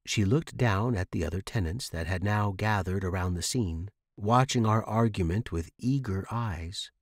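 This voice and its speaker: Low-pitched man narrating, dry sound. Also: normal speed, no echo. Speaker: podcast host and narrator. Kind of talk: dramatic scripted horror story narration.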